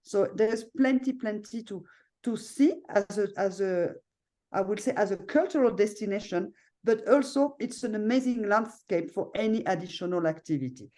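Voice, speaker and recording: Woman, middle-aged, French accent, mellow tone, chatty, video call. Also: medium pitch, moderate pace. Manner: chatty, frequent fillers and tag questions. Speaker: hotel owner presenting. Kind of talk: casual video call presentation.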